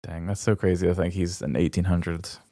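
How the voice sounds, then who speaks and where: A man's voice: deep voice, fairly even intonation, brisk, warm tone, dry recording. A man talks casually as a podcast co-host, playful and a bit wry. His voice is captured very dry, with no room sound at all. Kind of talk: casual comedic chat.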